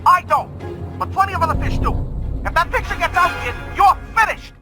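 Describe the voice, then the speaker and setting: A man voicing a frantic, fast-talking fish boss in an animated video game, with music under him. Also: very high voice. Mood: alarmed.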